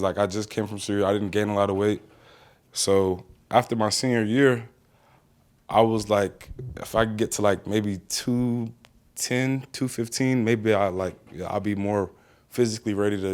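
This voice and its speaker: A young American man with a lowish voice answers reporters' questions at a press conference. His talk is casual and plainspoken, full of small fillers as he works out what to say. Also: darkish tone. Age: young adult. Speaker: college football player.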